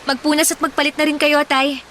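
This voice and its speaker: Over noticeable ambient noise comes the fairly high voice of a caring young Filipina daughter. She speaks respectfully and earnestly, fussing tenderly as in a radio drama. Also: flat delivery, fairly quick, pushed and bright. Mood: concerned, fussing. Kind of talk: radio drama acting in Tagalog. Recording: noisy ambience behind the voice.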